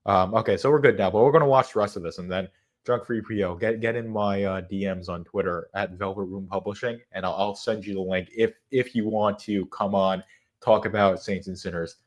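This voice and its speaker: A congested man with a fairly low voice chats loosely on his livestream. He rambles, restarts his sentences and fills gaps with "um" and "uh". His nose sounds stuffed from a cold. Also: fairly even intonation, fairly quick. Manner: rambling, frequent restarts and fillers. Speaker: livestream host. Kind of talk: casual livestream chat.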